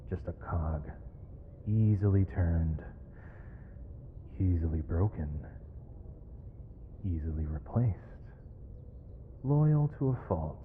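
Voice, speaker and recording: An actor playing a proud, weary captive mage in an ASMR roleplay, with eloquent, theatrical and measured formal diction. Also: male voice, low-pitched. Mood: mocking, disdainful. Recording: reverberant hall, faint noise, dull lo-fi sound.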